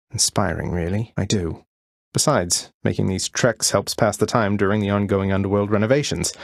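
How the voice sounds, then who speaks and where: Low, husky, wry young rebel prince. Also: male voice, no room sound. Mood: sardonic, defiant. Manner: dry sardonic understatement, formal turns of phrase. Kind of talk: video game voice acting.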